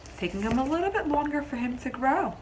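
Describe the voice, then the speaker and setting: A woman teacher reading a picture book aloud to young children, expressively, pausing to explain simply and ask questions. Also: high-pitched, very noisy. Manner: expressive storybook delivery, explains simply, pauses to question listeners.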